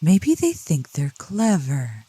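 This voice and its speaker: A woman playing a seductive, protective demon in a soft ASMR roleplay, speaking slowly and coaxingly in a low, gentle voice. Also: wildly swooping pitch, breathy edge, dry recording, full character voice. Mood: scornful. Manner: slow, deliberate, coaxing, with pet names. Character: seductive, protective female demon, soothing yet scornful of cultists. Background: rain.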